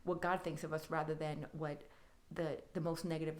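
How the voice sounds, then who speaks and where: A woman's voice: low voice, little pitch movement, moderate pace. A woman speaking in a reflective way in a conversational podcast discussion, repeating phrases for emphasis. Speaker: podcast guest.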